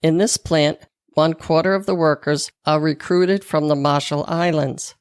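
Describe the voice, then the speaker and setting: A woman's voice: low voice, steady tone, a little slow, a little mellow, smooth, no echo, clean studio sound. A woman with a Boston accent hosting a podcast solo, reading scripted narration. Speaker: podcast host and health coach.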